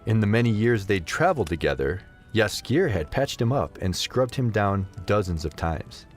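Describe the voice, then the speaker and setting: A man narrating a scripted fantasy story as an expressive audio drama narrator. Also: fairly low pitch, normal speed, faint music behind.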